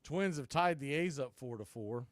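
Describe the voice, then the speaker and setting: A man calling a baseball game live as a play-by-play announcer, mixing clipped pitch calls with folksy, casual asides. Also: expressive, normal speed, no echo. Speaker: baseball play-by-play announcer for a fan radio stream.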